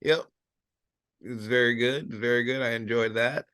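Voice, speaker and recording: A man's voice: mid-range voice, fairly even intonation, normal speed, pushed and bright, clear, resonant tone, no echo, studio quality. A young American man chatting casually as a podcast co-host, in a hesitant, agreeable way. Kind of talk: casual chat.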